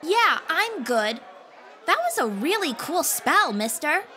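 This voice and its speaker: Woman voicing a high, cheeky little girl. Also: very animated, slow, bright, forceful tone, some chatter behind, exaggerated voice. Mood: impressed. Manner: quipping, bold. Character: cheeky, fearless young girl, eager and blunt. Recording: music bed behind.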